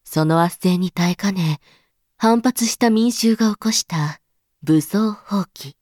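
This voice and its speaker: A woman's voice: medium pitch, slow pace, a little mellow, no echo, studio quality. A woman playing a scheming, amused member of the elite in a voice drama, speaking in a haughty, teasing, knowing manner. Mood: smug, scheming. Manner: teasing, haughty, feminine speech with knowing asides. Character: scheming, amused elite woman who secretly engineered a revolution. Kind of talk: voice drama monologue.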